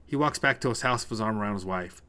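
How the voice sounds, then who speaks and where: A man's voice: fairly low pitch, quick pace, sharp tone, smooth. A man reading a scripted short story as narrator, told in the present tense.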